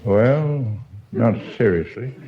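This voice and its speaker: A man's voice: mid-range voice, animated, slow, very noisy. An older man giving casual, unscripted replies as a radio quiz contestant, on a dull vintage recording.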